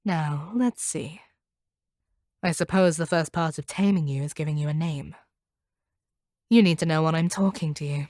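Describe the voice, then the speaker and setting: An actress voices a hardened monster hunter woman in a scripted audio roleplay. Her voice sits a little low and is recorded very dry and close. She talks down to her captive with measured, domineering authority and a proud, bitter edge. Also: darkish tone, no room sound, natural voice. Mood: cold, menacing.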